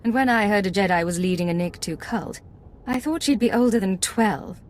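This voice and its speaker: An actress playing a secret agent in a video game, speaking in a terse, dry, businesslike way. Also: female voice, mid-range voice, normal speed, darkish tone, lightly acted. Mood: dry, taunting.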